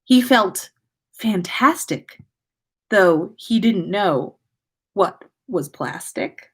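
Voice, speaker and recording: A woman hosting a casual story livestream, reading aloud expressively and chatting with listeners. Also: medium pitch. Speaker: podcast host reading listener fan fiction aloud.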